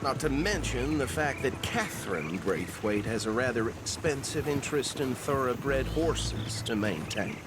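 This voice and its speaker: An earnest small-town lawman with a Southern US accent and a medium pitch. He is folksy, talkative and a little pompous, as in a western game, with noticeable wagon noise behind him. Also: big pitch swings, unhurried, hardly any pauses. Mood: gossipy. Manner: self-important, folksy, talkative. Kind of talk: western video game acting.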